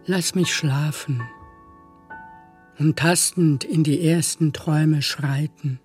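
A woman reciting poetry with measured, lyrical phrasing. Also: low voice, fairly even intonation, darkish tone. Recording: music bed behind voice. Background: music.

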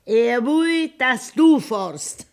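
A woman's voice: fairly high pitch, animated, drawn-out words. An actress playing a stern, pragmatic old farm grandmother with a Bavarian accent in a dialect radio drama, blunt and scolding. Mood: commanding. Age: older.

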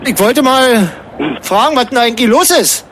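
A man bantering casually as a talk host, halting and repeating himself as he searches for words. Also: very high-pitched, animated, a bit bright, a little hiss or hum. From East Germany.

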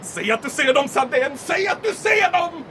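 An actor playing an excitable, melodramatic naval man in an audio drama, panicky and lamenting. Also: extremely high pitch, exaggerated voice. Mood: despairing. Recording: distant mic, noisy crowded outdoor scene.

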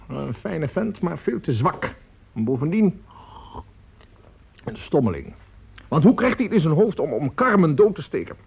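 An actor playing a grumbling, opinionated music lover who rants and mutters passionately with a husky voice in a radio play. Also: male voice, on the high side, darkish tone, full character voice. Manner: ranting, muttering, drawn-out emphatic words. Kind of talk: theatrical radio play acting. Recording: dull lo-fi radio play recording.

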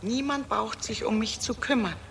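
A woman's voice: on the high side, normal speed, vocal fry, far from the mic, heavy background noise. A middle-aged woman acting a proud, defensive career woman, curt and disdainful, in a radio crime drama. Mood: exasperated.

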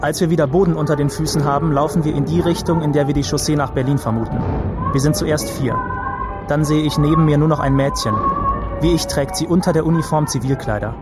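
A man's voice: a little high, steady tone, fast talker, natural voice. A man playing a war survivor who recounts a flight from the front in short, matter-of-fact sentences for a radio play. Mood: matter-of-fact, reporting. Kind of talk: first-person radio play narration. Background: loud music and vehicle noise.

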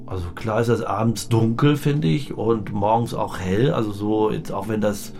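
An actor playing a plain-minded, defensive husband in an improvised radio comedy, talking in halting, colloquial bursts. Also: male voice, on the low side, mellow, soft background music, natural voice. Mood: halting, muddled. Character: plain-minded husband who finds life simple, defensive.

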